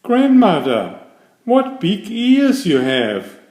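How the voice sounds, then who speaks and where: A man's voice: high voice, wildly swooping pitch, extremely slow, a little mellow, reverberant, voice pushed up, heavily acted. A man voicing an innocent, polite little fairytale girl in a wide-eyed, earnest manner. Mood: wondering.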